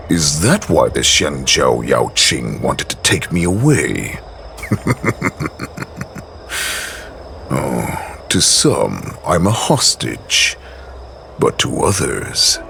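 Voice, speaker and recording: A menacing wolf-beast warlord in a game cutscene, with an extremely deep voice and gravelly grit. He talks slowly and taunts, his pitch swinging widely with lively menace. Music plays clearly behind him. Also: full character voice. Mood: taunting. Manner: slow, taunting, threatening. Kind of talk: video game cutscene acting.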